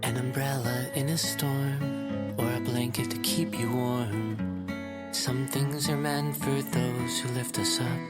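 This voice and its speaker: A woman singing a gentle ballad. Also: very deep voice, fairly even intonation, takes it slowly. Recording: sung over loud guitar music.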